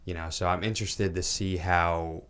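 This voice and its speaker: A man co-hosts a casual podcast, his voice very deep. He asks loose, wandering questions padded with you-knows. The delivery stays easygoing throughout. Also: normal speed, clear, resonant tone. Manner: relaxed, rambling questions with many you-knows. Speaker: podcast co-host.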